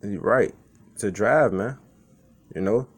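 A man's voice: fairly low pitch, takes it slowly, breathy edge. A man from Chicago giving an informal sermon as a street preacher, reading scripture and talking it over in a loose, conversational way, often tagging lines with "man" and "okay".

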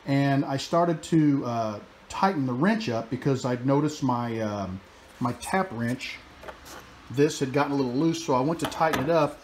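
A man in his forties talking casually through his workshop projects, relaxed and chatty with many fillers and restarts, filmed on a phone held at arm's length in his home workshop. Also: mid-range voice, normal speed, slight background noise. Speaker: woodworking and metalworking hobbyist. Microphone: phone camera held at arm's length.

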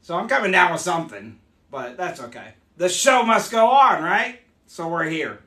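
A man in his forties, hoarse from losing his voice, chatting upbeat as he demonstrates mead making live in a small room. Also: sits high, sing-song, normal speed, pushed and bright, reverberant. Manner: chatty, upbeat, explains as he works. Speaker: meadery owner hosting a live stream. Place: small home meadery room, indoors.

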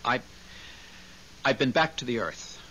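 A man's voice: medium pitch, animated, measured pace, pushed and bright. A man playing a nervous, deferential dead husband, stammering and polite, in 1970s radio drama style. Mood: nervous, pleading. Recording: muffled, hissy 1970s radio recording.

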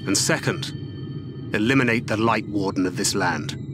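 An actor playing a stern, weary warrior and guardian in a video game, speaking in a measured, formal, terse way. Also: male voice, on the low side, natural voice. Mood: grave, measured. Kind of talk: dramatic video game voice acting. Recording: music behind the voice.

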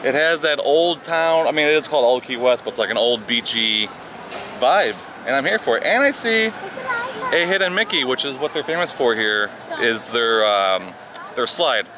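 A man's voice: medium pitch, moderate pace, sharp tone, a little hiss or hum. A man in his thirties talking casually and upbeat to viewers as a vlog host, recorded on a handheld camera. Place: outdoor resort walkways, some restaurant interior. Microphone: handheld camera at arm's length. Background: music.

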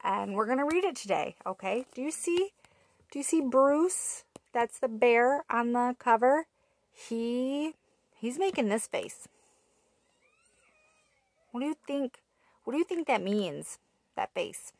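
A woman's voice: on the high side, expressive, dry recording. A woman in her thirties reading a picture book aloud to toddlers, asking them questions in a sing-song way, recorded on a webcam in a small room. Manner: slow, sing-song, asks little listeners questions. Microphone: webcam at arm's length.

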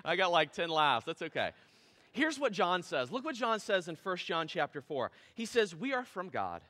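Man in his thirties, pastor, bright, casual animated sermon, reverberant church hall. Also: high voice, moderate pace. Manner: casual, animated preaching with gestures. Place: church stage with drum kit.

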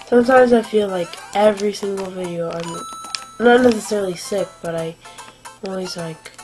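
A young woman giving casual, rambling commentary while playing a game at home, sniffly from allergies, with lots of fillers and quiet asides. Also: mid-range voice, moderate pace, over music. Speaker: gameplay commentator. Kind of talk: casual let's-play commentary. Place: home room at a desk.

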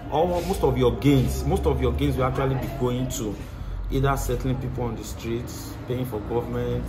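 A man's voice: mid-range voice, low-quality recording. A man in his thirties with a Nigerian accent, a mechanic answering interview questions earnestly, repeating phrases for emphasis, on a lapel mic outdoors at his workshop. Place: outdoor workshop by a tiled wall. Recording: clear outdoor background noise.

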